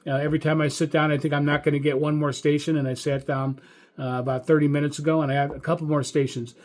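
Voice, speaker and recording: An American man who hosts a hobby radio channel, giving a casual, enthusiastic update in a halting, folksy way and repeating words for emphasis. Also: a little high, little pitch movement, darkish tone. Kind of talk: casual video update monologue.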